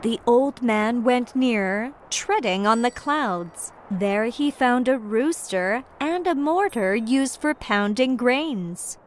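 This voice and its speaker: A woman narrating a children's fairytale from a script, in clearly phrased storytelling style. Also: on the high side, lively intonation, takes it slowly, hardly any pauses, a little hiss or hum.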